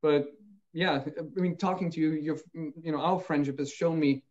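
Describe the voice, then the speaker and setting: Man as an earnest interview guest, restarting sentences, slightly high voice, muffled recording. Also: steady tone, moderate pace, darkish tone. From Austria. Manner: earnest, searching for words with many restarts. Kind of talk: reflective interview talk. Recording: dull lo-fi sound.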